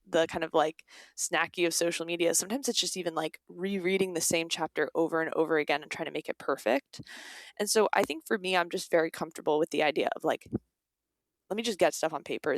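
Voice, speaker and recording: A woman's voice: deep voice, no room sound. A young woman speaking casually as an interview guest, informal and peppered with "like" and quoted asides. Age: young adult. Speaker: guest, author.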